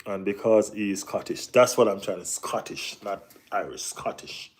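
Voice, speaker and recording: A man's voice: fairly low pitch, fairly even intonation, normal speed. A Jamaican man hosting a casual football live stream, reading and answering chat, often saying "you know what I mean".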